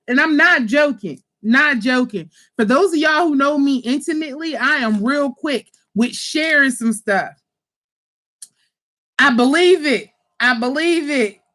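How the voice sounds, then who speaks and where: A woman from Pittsburgh hosting a casual livestream about her spiritual kits, animated and chatty, swearing freely and calling her viewers y'all. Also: high-pitched. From Pittsburgh, USA. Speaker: spiritual kit seller and channel host.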